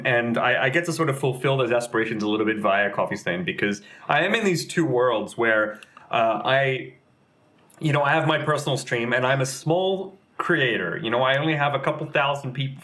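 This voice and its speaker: A man's voice: mid-range voice, fairly bright tone. A young adult man, a game community manager, chatting casually as an interview guest, rambling with many fillers and restarts.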